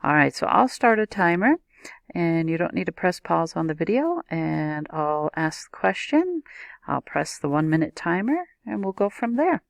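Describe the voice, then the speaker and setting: Woman, low voice, video lecturer, steady and explanatory, dry recording. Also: normal speed, gravelly, no room sound. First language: not a native Japanese speaker. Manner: explanatory, methodical, addresses viewer directly. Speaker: teacher.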